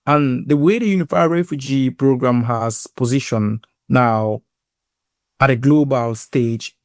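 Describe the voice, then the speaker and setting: A man with a Cameroonian accent speaks in a mid-pitched voice, recorded close and dry. He sounds earnest as he tells a personal story, often halting and repeating words as he goes. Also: dry recording. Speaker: guest, sports inclusion program lead.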